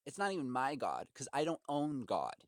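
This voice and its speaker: A man's voice: mid-range voice, wildly swooping pitch, moderate pace, dry recording, studio quality. A man arguing in an impassioned, rambling way as a caller, sounding aggrieved and preachy, with heavy emphasis. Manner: emphatic, aggrieved, preachy, acts out imagined quotes. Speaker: caller to customer service. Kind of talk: impassioned rambling argument on a phone call.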